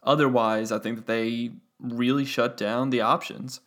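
Gravelly-voiced man breaking down a game. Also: mid-range voice, fairly even intonation, measured pace, a bit bright. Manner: casual analytical, thinking aloud with fillers. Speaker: podcast host. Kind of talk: solo sports analysis podcast.

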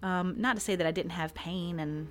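A woman hypnotist chatting casually as a podcast guest, with a calm, relaxing voice and frequent laughs. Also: fairly low pitch, normal speed.

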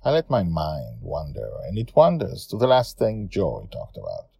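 A man voicing a reflective, wistful old grandfather who tells his dream adventure story in a musing, unhurried way. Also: sits low, wildly swooping pitch, vocal fry, dark tone, breathy edge, no echo, clean studio sound, voice pushed down, full character voice. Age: older. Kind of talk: first-person audio story narration.

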